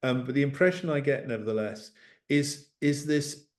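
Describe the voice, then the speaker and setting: A man's voice: medium pitch, normal speed. Hesitant man asking a question. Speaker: interviewer. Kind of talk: questioning a guest at a talk.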